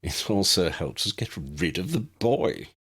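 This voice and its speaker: A man acts out a haughty wizard patriarch for an audiobook. He is cold and smug, drawing out his words with disdain, his pitch swinging widely over a husky tone. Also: very animated, fairly bright tone, exaggerated voice. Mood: sneering, smug. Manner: disdainful, smug, drawn-out delivery. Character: cold, sneering aristocratic wizard father, disdainful villain.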